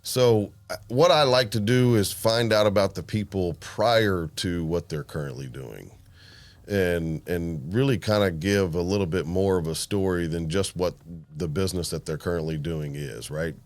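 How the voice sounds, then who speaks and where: A man hosting a podcast interview, easygoing and quick with enthusiastic reactions. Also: low voice. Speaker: podcast host. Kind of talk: casual interview.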